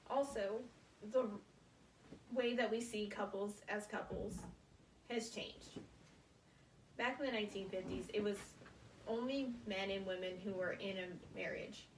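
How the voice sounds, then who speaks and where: Student presenter: young American woman, halting short phrases, creaky voice, roomy sound with faint noise. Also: medium pitch, steady tone, recorded from a distance. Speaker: college student giving a speech. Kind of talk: prepared informative classroom speech.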